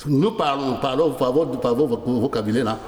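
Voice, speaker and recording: A man's voice: mid-range voice, moderate pace, a little mellow. Insistent man lecturing in an echoey studio. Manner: insistent, lecturing, with many restarts. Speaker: studio guest, sanitation advocate. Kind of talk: studio interview.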